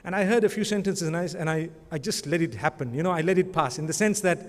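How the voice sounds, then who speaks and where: A man's voice: on the high side, fast. A man presenting an award, speaking in a rambling, conversational way and often adding religious blessings. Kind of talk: speech at an awards ceremony. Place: large conference hall. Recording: long hall reverb.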